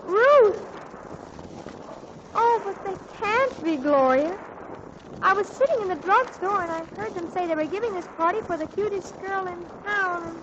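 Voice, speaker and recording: A breathless, gushing teenage girl in a 1940s radio comedy. Her voice sits very high and bright. The broadcast sounds muffled and hissy. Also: expressive, a little slow. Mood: flustered. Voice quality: gushing, breathless teen chatter. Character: excitable teenage girl Judy, dreamy and flustered.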